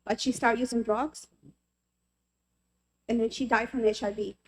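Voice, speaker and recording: A woman's voice: fairly high pitch, steady tone, a little slow. Earnest woman from El Salvador testifying. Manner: earnest, heartfelt, personal. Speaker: member of the public testifying. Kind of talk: personal testimony at a legislative hearing.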